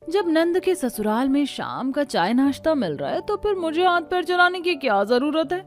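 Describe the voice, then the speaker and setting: A woman's voice: high voice, very animated, normal speed, faint music behind, pitched above the performer's own voice. A woman voicing a lazy, envious sister-in-law in a cartoon family story. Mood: envious, scheming. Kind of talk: Hindi moral-story cartoon dialogue.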